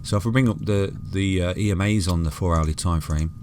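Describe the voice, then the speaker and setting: Deep-voiced man, chatty chart talk. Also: a little fast, darkish tone, slight background noise. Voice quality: soothing voice. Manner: chatty, calls viewers "guys" and "mate", many restarts. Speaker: crypto chart analyst. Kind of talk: casual chart walkthrough.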